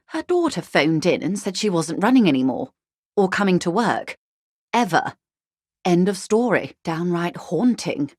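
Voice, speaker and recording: Game role: poised upper-class female negotiator, lower register, formal, measured, faintly arch, dry studio sound. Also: dry recording. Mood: polite, wry. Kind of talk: video game dialogue acting.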